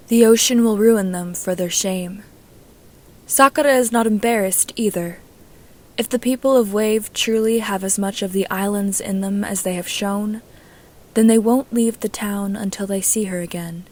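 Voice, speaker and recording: Female amateur narrator for audio fiction, mid-pitched, reading scripted prose. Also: darkish tone. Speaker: amateur podfic narrator reading own story.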